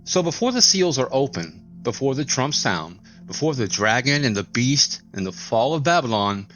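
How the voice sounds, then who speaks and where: A man with a mid-pitched voice explains scripture as a scripted, dramatic narration. His phrasing is solemn and deliberate, with emphatic pauses. Soft music plays behind him. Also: big pitch swings.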